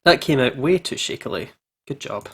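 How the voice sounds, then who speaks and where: A man's voice: mid-range voice, very animated, normal speed, a bit bright, breathy edge. A man hosting a let's play, casually commenting and reading visual novel text aloud in an informal, jokey way. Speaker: video game let's play host.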